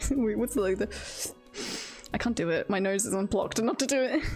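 A woman's voice: on the high side, warm tone. A woman streaming a video game, chatting casually and reacting aloud in a playful, giggly manner. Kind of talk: casual livestream chat and game commentary.

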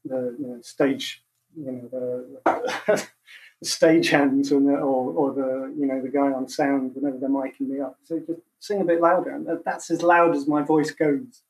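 A man in his thirties with a quiet, soft voice, chatting over a video call as a guest musician and laughing often. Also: medium pitch, flat delivery, unhurried. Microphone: video call mic. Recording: distant from the mic.

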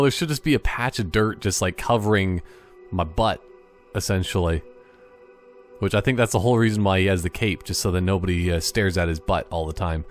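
A man giving casual, jokey gameplay commentary, thinking aloud and wandering off on tangents. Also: lowish voice. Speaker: gaming YouTuber.

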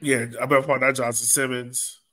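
A man's voice: mid-range voice, flat delivery, fairly quick, fairly bright tone. An American man in his thirties hosting a casual match-analysis podcast over a video call from a room at home. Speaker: sports podcast host. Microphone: video call microphone.

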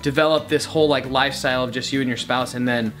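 A man in his twenties, co-hosting a casual living room chat with his wife, relaxed and teasing. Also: medium pitch, fast talker, soft background music. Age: 20s. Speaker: co-host, husband. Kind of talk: casual couple chat on camera. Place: living room couch, indoors. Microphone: camera mic at a few feet.

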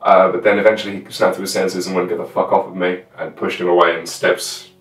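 Low-voiced young man chatting, roomy sound. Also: little pitch movement, quick pace. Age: 20s. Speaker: guest, fellow live roleplayer. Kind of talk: casual chat, recounting a scene. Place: small room at home with costumes. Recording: roomy echo, distant microphone, music underneath.

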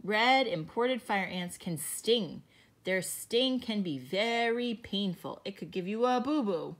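Slow, gentle teacher reading to kids. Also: female voice, mid-range voice, animated. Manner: slow, gentle, explains to young children. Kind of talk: children's picture book read-aloud.

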